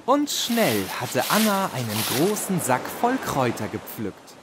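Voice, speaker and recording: A man's voice: medium pitch, sing-song, measured pace, very noisy. A man narrating a children's bedtime story as a scripted narrator.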